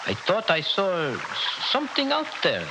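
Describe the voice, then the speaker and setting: A man's voice: sits high, wildly swooping pitch, normal speed, exaggerated voice. A man playing an imperious, easily panicked head of state in a 1960s radio drama, speaking in a pompous, formal, commanding way. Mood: uneasy. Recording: noisy old radio recording.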